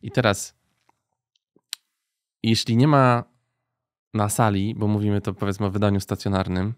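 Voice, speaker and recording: A man's voice: on the low side, little pitch movement, moderate pace, no room sound, studio quality. A man hosting a podcast, talking casually and colloquially while searching for his words. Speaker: podcast host. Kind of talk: casual interview chat.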